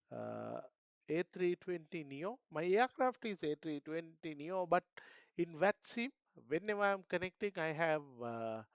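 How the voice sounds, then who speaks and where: A man's voice: high voice, clear, resonant tone, no echo, lo-fi sound. A man with a Sri Lankan accent, thinking aloud hesitantly in casual commentary as a flight simulator streamer.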